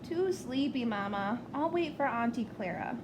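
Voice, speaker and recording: A woman's voice: a little high, lively intonation, normal speed, background noise, lightly acted. A woman voicing a sleepy, curious and grumbling little boy from a picture book. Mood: tired, whiny.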